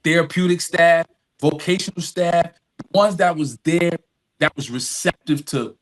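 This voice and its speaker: A middle-aged man with a somewhat high-pitched voice speaks as an interview guest. He tells personal stories candidly, in informal language, often repeating a phrase to drive it home. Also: a little slow. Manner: emphatic repetition of phrases, informal address.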